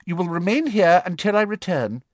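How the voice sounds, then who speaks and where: A performer voicing a domineering, greedy middle-aged female official in an audiobook, sharp and demanding, with a falsely sweet streak. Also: medium pitch, expressive, normal speed, dry recording, clean studio sound, pitched above the performer's own voice, lightly acted. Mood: sharp, bossy.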